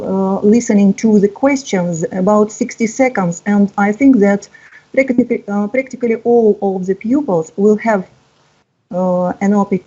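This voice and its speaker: Woman in her fifties, teacher, halting and encouraging, mellow husky tone over dull call audio. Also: mid-range voice. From Russia. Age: 50s. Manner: hesitant, many uh fillers, encouraging. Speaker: English teacher. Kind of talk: online exam-prep lesson. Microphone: video call.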